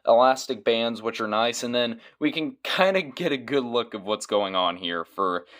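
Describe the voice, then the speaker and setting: A man's voice: medium pitch, moderate pace, fairly bright tone. A man doing casual product review commentary as a toy reviewer, with dry, joking asides.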